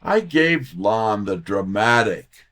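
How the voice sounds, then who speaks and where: Man as breezy detective's assistant, animated intonation, leisurely pace, theatrical, wisecracking, audio drama. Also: on the low side, takes it slowly. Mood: exasperated. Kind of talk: full-cast audio drama, detective narration.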